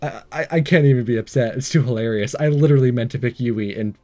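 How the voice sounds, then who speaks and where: A man's voice: medium pitch, quick pace, darkish tone. A man hosting a game playthrough, reading visual novel text aloud with jokey asides and the odd stumble.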